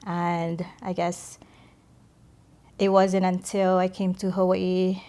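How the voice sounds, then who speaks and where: A woman from the Philippines, middle-aged, sharing a personal faith testimony as a podcast guest, speaking hesitantly with many pauses. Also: fairly low pitch, monotone, mellow, smooth.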